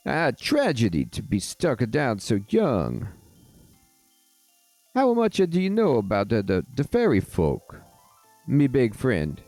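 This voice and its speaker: A man voicing a streetwise, chummy male fairy in a video game, with an exaggerated mock Italian accent and a halting, theatrical delivery. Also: mid-range voice, wildly swooping pitch, a little mellow, no room sound, soft background music, voice pushed up, full character voice. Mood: confiding.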